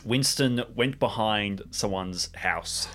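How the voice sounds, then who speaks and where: A man's voice: fairly low pitch, slow, fairly bright tone. A man casually improvising narration as a player in an audio drama.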